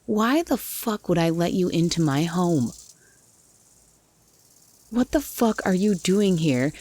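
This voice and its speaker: A woman playing a gruff, wary cabin dweller, blunt and grumpy, in a horror audio roleplay. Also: on the low side. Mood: angry, suspicious. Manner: blunt, grumpy, foul-mouthed.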